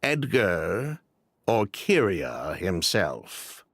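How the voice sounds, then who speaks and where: A man playing a deliberate, halting character in a video game mystery. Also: deep voice, wildly swooping pitch, fairly bright tone, slightly rough, no echo, clean studio sound, heavily acted. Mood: grave.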